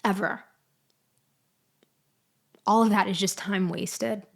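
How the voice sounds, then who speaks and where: A woman playing a betrayed, vengeful ex-girlfriend in an audio roleplay monologue, taunting with deliberate, condescending delivery. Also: medium pitch, fairly even intonation, gravelly. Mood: cold, scornful. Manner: slow, deliberate, condescending, with sighs and pauses. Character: betrayed, vengeful ex-girlfriend confronting her framer, coolly taunting. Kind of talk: girlfriend ASMR-style dramatic roleplay monologue.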